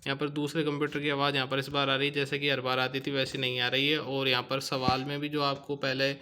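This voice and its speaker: A man's voice: medium pitch, sharp tone, smooth. A man giving an explanatory tutorial as a video host, in a repetitive, instructional manner. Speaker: YouTube host.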